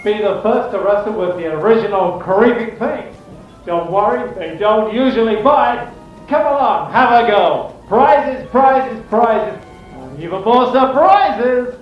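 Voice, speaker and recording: Roomy muffled sound, music, man playing pushy fairground barker, excitable, sing-song sales patter, audio drama. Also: high voice, unhurried. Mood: coaxing, showy. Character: pushy, excitable carnival barker hawking snacks and games. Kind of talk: audio drama carnival barking. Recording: dull, distant, roomy, music underneath.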